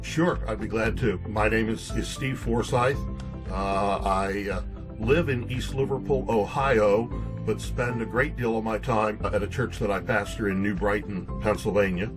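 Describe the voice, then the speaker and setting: An older man in his sixties with a fairly low voice talks as an interview guest. He tells things casually and a little haltingly, repeating words, with faint music behind. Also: steady tone. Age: 60s. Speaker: pastor and author, interview guest. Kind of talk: casual storytelling interview talk.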